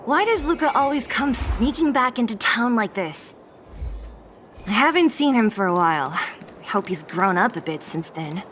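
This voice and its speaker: A wry, expressive young fighter. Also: female voice, fairly high pitch, moderate pace, slight background noise. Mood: reluctant, uneasy. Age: young adult. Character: tough young female fighter, blunt, wry, reluctant. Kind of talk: video game dialogue acting.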